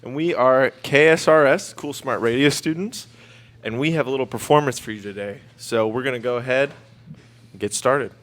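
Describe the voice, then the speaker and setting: A man's voice: medium pitch, normal speed, a bit bright. A young man hosting student radio in casual, laid-back, rambling chat with some hesitation. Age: young adult. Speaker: student radio host and interviewer. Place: radio studio. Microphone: studio microphone.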